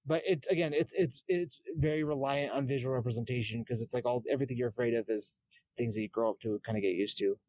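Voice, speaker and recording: A man chatting casually as a podcast co-host, often pausing to search for his words. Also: mid-range voice, a little mellow, no room sound. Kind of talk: casual chat about horror games and films.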